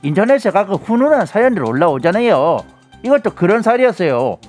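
A man's voice: sits high, sing-song, brisk, a little mellow, soft background music. A man who is a guest on a radio show, telling a news story in a playful, chatty way. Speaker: guest commentator. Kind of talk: animated radio chat.

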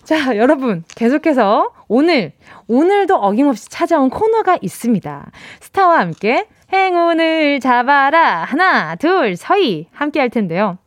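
A woman radio DJ with a slight Busan accent, chatting playfully with her listeners and laughing as she reads their messages. Her voice sits high and swings widely in pitch. The studio sound is close and dry. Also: big pitch swings, unhurried, hardly any pauses, dry recording. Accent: Busan dialect. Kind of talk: live radio show hosting, reading listener messages. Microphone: studio microphone.

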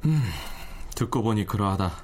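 An actor playing a Joseon king who gives a measured command. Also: fairly low pitch, lively intonation, a little mellow, a little hiss or hum, lightly acted. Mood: deliberate. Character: male Joseon king, calm and authoritative. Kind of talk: historical drama reenactment.

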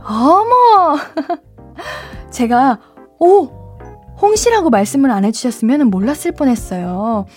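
A woman radio DJ with a bright, high voice reads and reacts to listener letters. She talks in a bubbly way, gasping and exclaiming often. Her studio microphone picks up soft music playing faintly behind her. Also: expressive, slow pace. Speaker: radio DJ host. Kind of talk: late-night radio chat, reading listener letters.

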